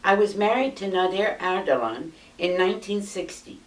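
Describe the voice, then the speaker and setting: An American woman in her eighties reads her own life story aloud from notes in a measured, steady way, seated in a small indoor room. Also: medium pitch. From United States. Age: 80s. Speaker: memoir narrator. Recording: roomy sound from a distant mic.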